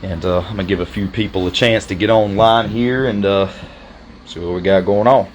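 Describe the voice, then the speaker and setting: A man's voice: lowish voice, steady tone, fairly quick, a little hiss or hum. Folksy Southern man hosting a livestream. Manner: folksy and effusive, thanks guests at length. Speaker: interview host. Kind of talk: casual livestream interview.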